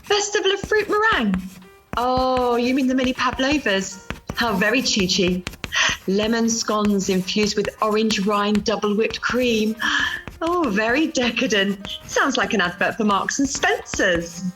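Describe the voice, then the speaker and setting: Blunt British woman, acted, high and lively. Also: a little slow, poor audio. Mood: teasing. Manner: frank, teasing, dramatic. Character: blunt, exasperated, fond woman best friend. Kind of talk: radio play acting. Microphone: video call. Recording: home video-call recording with music.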